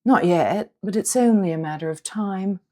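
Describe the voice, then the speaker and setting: A woman's voice: mid-range voice, moderate pace, darkish tone, close to an everyday voice. Storybook dragon, male, haughty schemer with a snarling drawl, played by a female reader. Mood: smug.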